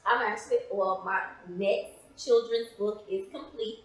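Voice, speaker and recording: A woman's voice: medium pitch, a little slow. American woman in a very echoey room. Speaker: interview guest, playwright and author. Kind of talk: conversational interview answers.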